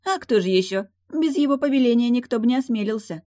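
A high-pitched young mermaid from a fantasy audiobook, played by a woman narrator. She is brash and carefree, teasing with sly, haughty exclamations. The sound is dry and close. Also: expressive, quick pace, mellow, no echo, lightly acted. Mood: breezy, careless.

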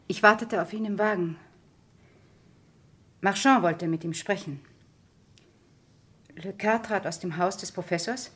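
An actress playing a woman doctor in a radio crime drama, confessing in a controlled, measured way to killing her husband. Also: mid-range voice, breathy edge. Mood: subdued, resigned. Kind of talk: 1970s German radio crime drama acting.

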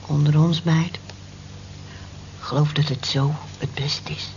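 A practical, motherly workmate who is blunt but coaxing. Her voice is fairly low for a woman. The radio drama recording is muffled, with slight noise. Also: little pitch movement, a little slow, darkish tone. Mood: consoling. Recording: muffled, noisy old radio play recording.

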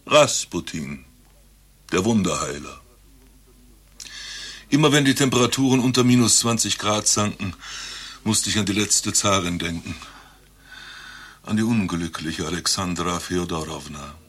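An actor playing an eccentric doctor who claims to be Rasputin reborn, telling his tale in a deadpan, grandiose way in a satirical radio play. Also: sits low, a little slow, pauses often, exaggerated voice. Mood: nostalgic, musing. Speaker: doctor recounting his past life.

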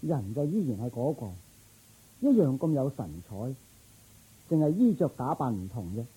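Man playing a loyal guard, very husky voice. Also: mid-range voice, very animated, moderate pace, dark tone, rough recording. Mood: earnest. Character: loyal, thoughtful palace guard officer. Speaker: voice actor. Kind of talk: radio drama acting.

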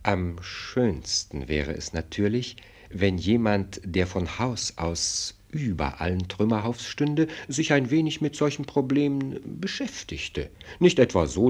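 An older man acting a shrewd, courtly minister of state in a radio play, speaking in measured, ornate, dryly pointed phrases. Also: medium pitch, a little mellow.

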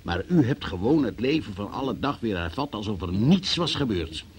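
An actor playing a formal, sceptical examining magistrate who questions insistently in a Dutch radio play. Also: male voice, lowish voice, sing-song, moderate pace. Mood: indignant, sceptical. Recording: noisy old radio play recording.

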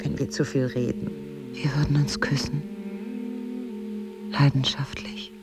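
An actress playing a wry, guarded, passionate middle-aged wife in an intimate audio drama, husky and breathy, with an ironic and emotional delivery. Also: female voice, a bass voice, little pitch movement, unhurried, over loud music. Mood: seductive.

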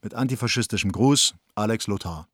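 Sardonic young man, rapid delivery, dry close sound, wry, insistent, radio play letters. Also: fairly low pitch, a bit bright, dry recording. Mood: insistent, ironic. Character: Alex, ironic, fed-up young theatre man writing letters.

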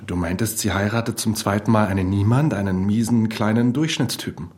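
An actor in a German radio play playing a blunt, prying friend who talks in a chatty, teasing, informal way. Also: male voice, lowish voice, brisk, a little mellow, natural voice. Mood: probing.

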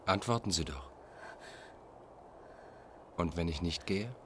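An actor in a German radio play playing a menacing, insistent fugitive who pleads and insinuates. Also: male voice, low voice, takes it slowly, heavy background noise. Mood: brazen, insistent. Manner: insistent, brazen, formal address.

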